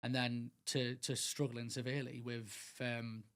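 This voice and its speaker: A man's voice: mid-range voice, level tone, a little slow, a bit bright, clear, resonant tone. A middle-aged man from England, speaking earnestly as a podcast guest, rambling with many restarts and fillers. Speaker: guest, mental health speaker. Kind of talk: casual podcast interview.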